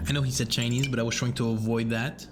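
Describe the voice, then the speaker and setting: A man streaming a game, reading text aloud and rambling in a self-mocking way, swearing now and then. His voice sits in an ordinary middle range. A clear layer of noise hangs under the home recording. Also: mid-range voice.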